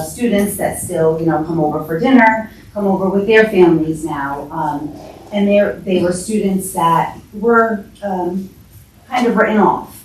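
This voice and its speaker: A woman speaking as a former teacher, answering hesitantly at a public meeting with pauses between phrases. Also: deep voice. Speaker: former teacher, board candidate. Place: indoor council meeting room. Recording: very echoey, distant mic, faint noise.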